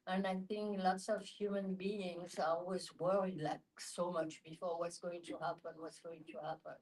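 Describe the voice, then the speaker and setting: A woman's voice: on the low side, little pitch movement, moderate pace, a little mellow, rough recording. A woman with a French accent speaking frankly and matter-of-factly in a group discussion. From France.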